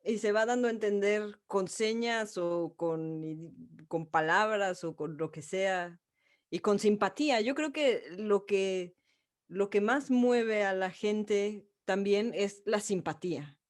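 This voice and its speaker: A woman's voice: medium pitch, takes it slowly. A woman hosting an interview, thinking aloud in a reflective way. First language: Spanish. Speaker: host. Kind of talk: interview conversation.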